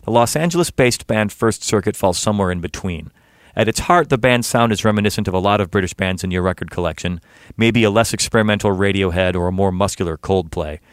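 Low-voiced radio host, dry sound. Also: male voice, clean tone, no room sound. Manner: measured, announcer-like delivery. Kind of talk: scripted intro then casual interview.

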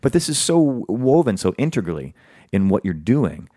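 A male podcast host asking rambling, animated questions packed with "like"s in a casual interview. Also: on the low side, moderate pace, dry recording, studio quality.

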